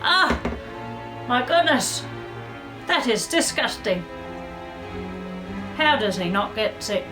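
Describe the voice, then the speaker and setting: A woman's voice: on the high side, very animated, slow, pauses often, over music. A woman reading a picture book aloud to young children, adding chatty asides and questions to her listeners. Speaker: children's storyteller.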